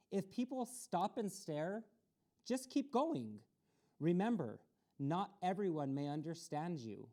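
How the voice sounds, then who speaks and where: A woman's voice: low-pitched, warm tone. A woman reading a picture book aloud to children on a community TV storytime and encouraging them. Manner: encouraging, talks straight to children. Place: community access TV studio.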